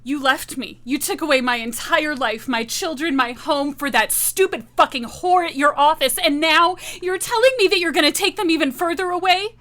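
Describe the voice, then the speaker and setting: Woman playing a high, bright, betrayed mother. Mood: furious hurt. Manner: emotional, halting, accusing. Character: betrayed, bitter, desperate mother confronting her estranged husband.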